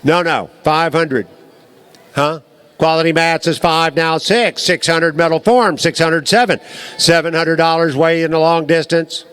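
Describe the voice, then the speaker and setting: A man working as a livestock auctioneer, rolling out a rapid rhythmic chant of repeated bid numbers and bidder names. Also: on the high side, fairly even intonation, a bit bright, smooth.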